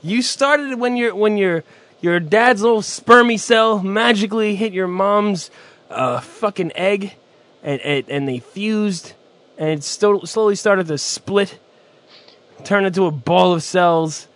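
High-voiced, profane radio cohost. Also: male voice, slow pace, dry recording. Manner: loud, profane, laughing outbursts. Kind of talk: crude, excitable banter.

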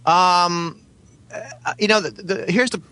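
A man chatting casually in a halting, insistent way, repeating himself and sometimes swearing. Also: high-pitched, steady tone, sharp tone, poor audio. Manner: halting, repetitive, insistent, profane. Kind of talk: casual chat.

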